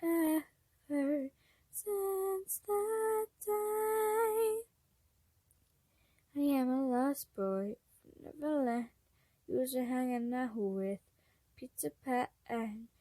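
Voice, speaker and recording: A woman voicing a shy, gentle man in love from a story, soft and hesitant. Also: sits high, expressive, extremely slow, pauses often, no room sound, full character voice. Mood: soft singing.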